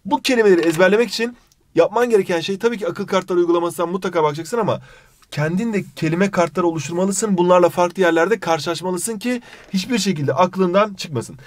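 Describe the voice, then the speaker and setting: A man's voice: sits high, quick pace, no echo. A man with a Turkish accent teaching an English lesson in a jokey way, playfully scolding as he goes. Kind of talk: scripted lesson with playful banter.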